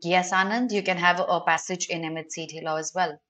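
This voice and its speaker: A woman's voice: lowish voice, fairly even intonation, a little fast, fairly bright tone. A young woman with an Indian accent teaching a live online exam prep class, giving instructions directly to students.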